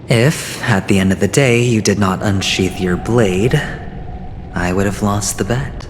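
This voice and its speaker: A man voicing a cunning gambler in a video game, speaking with measured, teasing theatricality and an undertone of weariness. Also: on the low side, background noise, natural voice. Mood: frank, resigned. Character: cunning male gambler, smooth, theatrical and inwardly weary. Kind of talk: video game story voice acting. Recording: long hall reverb, loud music behind. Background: game music.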